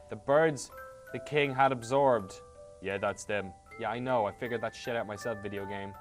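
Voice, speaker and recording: An Irish man reading a game's dialogue aloud, with sarcastic, swearing asides between the lines. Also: mid-range voice. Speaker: host playing a game.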